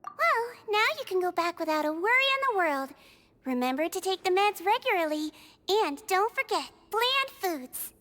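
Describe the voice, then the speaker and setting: Sweet little nurse, extremely high girlish voice, very lilting, polite, careful, caring, video game character. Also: sing-song, moderate pace, bright, forceful tone, slight background noise, heavily acted. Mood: caring, instructive. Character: small, sweet, childlike nurse, gentle, sincere, caring.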